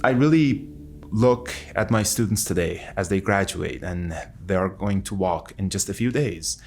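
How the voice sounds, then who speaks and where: Low-voiced man reflecting in an interview. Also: normal speed, a little mellow, soft background music. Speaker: university researcher and mentor.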